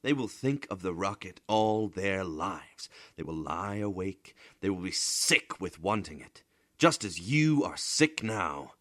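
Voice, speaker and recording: A man's voice: low-pitched, big pitch swings, a little slow, a bit bright, dry recording, clean studio sound, voice pushed up, full character voice. A man voicing a stern, cynical old man in a story, scolding and admonishing with emphatic rhetorical force. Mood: warning.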